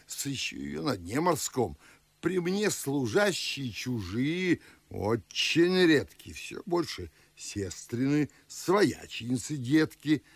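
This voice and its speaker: An actor playing a fussy, fawning elderly Moscow gentleman with a gravelly, husky voice in a classic radio play. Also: mid-range voice, no echo, full character voice. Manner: fawning, fussy, chuckling host.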